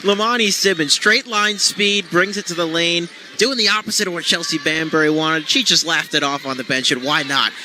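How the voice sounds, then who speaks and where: Man, high voice, basketball color commentator, analytical, detailed, noisy live arena broadcast. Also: moderate pace, few pauses, sharp tone. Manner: analytical, explains plays in detail.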